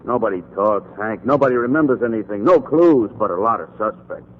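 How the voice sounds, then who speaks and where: A man's voice: lowish voice, expressive, fairly quick. A man playing a callous, jaded police lieutenant with a brusque, dismissive manner in 1940s radio drama style. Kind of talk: 1940s noir radio acting. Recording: muffled, noisy 1940s radio recording.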